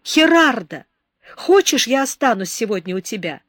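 A woman's voice: a little high, very animated, moderate pace, a bit bright, dry recording, clean studio sound, pitched above the performer's own voice. A woman doing a tearful young girl pleading. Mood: earnest. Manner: impassioned, pleading, near tears.

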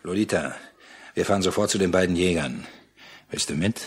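An actor playing a domineering, hard-headed trader in a German radio play, brusque and commanding. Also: male voice, sits low, brisk, natural voice. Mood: brisk, scheming. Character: domineering hard-headed foreign trader, grown man, scornful of locals.

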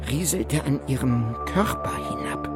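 An actor playing a 19th-century European explorer in a radio play, narrating his voyage in a deliberate, solemn, condescending literary manner. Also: mid-range voice, lively intonation, natural voice. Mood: appalled. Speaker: actor reading a historical explorer's account. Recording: loud music under the voice.

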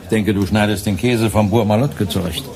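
An actor playing a breezy, wisecracking radio freelancer in a radio play, chummy and flippant. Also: male voice, fairly low pitch, fairly even intonation, brisk, a little mellow, close to an everyday voice.

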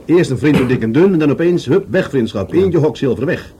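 A man's voice: a little high, expressive, fairly quick, noisy background. A man acting in a Dutch radio play as an earnest, thoughtful police adjutant, politely and persistently explaining to his superior.

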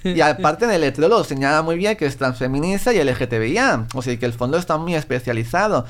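A man's voice: on the high side, lively intonation, fast talker, a bit bright. A man with an accent from Spain chatting casually as a guest, telling stories and acting out what people said. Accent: Spanish from Spain. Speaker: guest, social educator and bookshop founder. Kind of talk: casual conversational storytelling.

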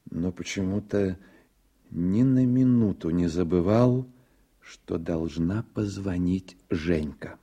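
A man narrating a literary radio drama in a measured, reflective manner. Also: deep voice, vocal fry, warm tone, slightly rough.

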